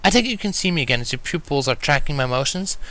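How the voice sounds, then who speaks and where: A man's voice: a little high, expressive, fast talker, pushed and bright, pitched above the performer's own voice, poor audio. A man voicing a brainy, caring female unicorn who speaks earnestly and gets easily flustered, in a fan fiction reading. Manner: earnest, explanatory. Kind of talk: fan fiction storybook character voice.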